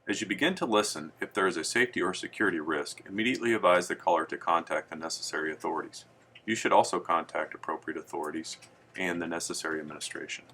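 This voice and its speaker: Low, bright-voiced male training narrator. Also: slight background noise. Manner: measured, formal, instructional. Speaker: training presenter. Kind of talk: scripted instructional narration.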